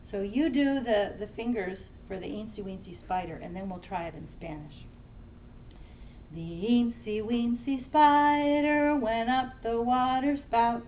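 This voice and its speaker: A woman children's librarian leading a family storytime, singing nursery songs in English and simple Spanish and gently encouraging young children to join in. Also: a little high, a little slow, slight background noise. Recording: somewhat distant from the mic, faint music.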